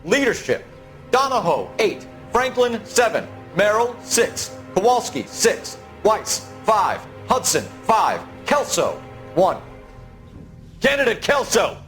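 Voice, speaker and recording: High-pitched stern American officer. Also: male voice, big pitch swings, slow pace, fairly bright tone, soft background music, heavily acted. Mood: angry, barking. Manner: clipped, formal, authoritative. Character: stern, commanding male officer in authority. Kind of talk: period crime game acting.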